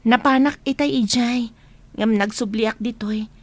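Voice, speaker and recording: A woman's voice: on the high side, steady tone, unhurried, no room sound. A woman playing a prying, teasing young student friend in an Ilocano radio drama. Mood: prying, reproachful.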